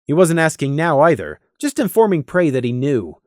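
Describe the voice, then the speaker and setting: A woman's voice: deep voice, sing-song, normal speed, a little mellow, no echo, clean studio sound. A woman reading scripted story narration as an audiobook narrator.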